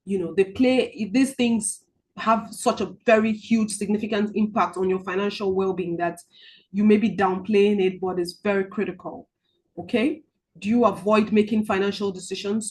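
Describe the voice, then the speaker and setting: Woman money coach, video-call sound, hesitant and filler-heavy, gentle when guiding a breathing exercise. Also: medium pitch. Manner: halting, many filler ums and restarts; soft, slow guided-relaxation instructions. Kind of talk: online group coaching session with guided visualization.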